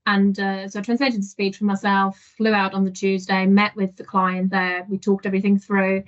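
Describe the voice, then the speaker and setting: A woman guest on a podcast interview, telling her stories in a lively way and often laughing. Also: mid-range voice, normal speed. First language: not French. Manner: animated storyteller, laughs often. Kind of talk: casual interview. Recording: dull lo-fi audio.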